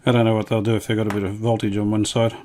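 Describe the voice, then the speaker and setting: A man with a fairly low voice presents a hands-on workshop demonstration. He talks casually and without hurry, in a matter-of-fact way, as if thinking aloud while he works. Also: level tone, very fast, mellow, slight background noise. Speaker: hobbyist radio restorer presenting his video.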